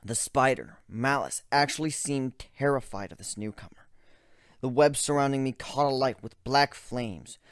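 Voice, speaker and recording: A man's voice: mid-range voice, normal speed, dry recording. A man giving a dramatic first-person reading of a story as its narrator, close to the microphone.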